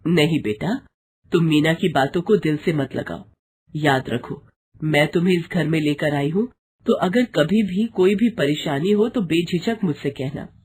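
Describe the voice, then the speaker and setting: A woman voicing a caring, protective older mother-in-law, soothing and gently commanding, in a moral story. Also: low voice, measured pace. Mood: apologetic, reassuring.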